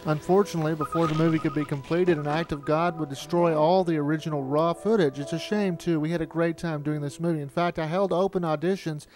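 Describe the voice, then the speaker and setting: A man's voice: a little high, steady tone, fast talker, few pauses, a little mellow. A man narrating a reflective, anecdotal documentary retrospective as the filmmaker. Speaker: filmmaker looking back on studio's history. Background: music.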